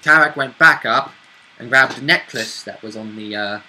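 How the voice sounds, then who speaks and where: Male co-host, very bright and forceful tone, medium pitch, casual gamer chat. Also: moderate pace, poor audio. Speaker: co-host and player recalling the game. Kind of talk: casual chat about a roleplaying game.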